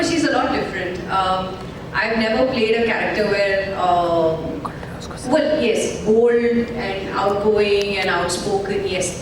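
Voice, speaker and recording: An actress answering questions at a press conference in a chatty, upbeat way. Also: female voice, mid-range voice, distant mic. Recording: echoey hall, mic from afar, noisy.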